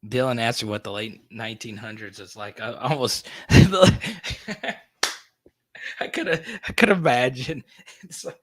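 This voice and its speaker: Slow-talking, laughing man in his thirties. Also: mid-range voice, fairly bright tone, no echo. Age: 30s. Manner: laughs often, stammering restarts, joking. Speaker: sports podcast host. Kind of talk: casual livestream chat reading viewer comments.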